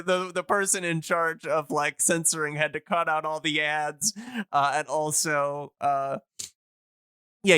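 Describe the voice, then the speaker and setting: A man explaining things casually on a podcast as an enthusiast, in a halting, rambling way full of fillers. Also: on the high side, sharp tone, clear, resonant tone, dry recording. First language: English. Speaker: podcast speaker on old-time radio.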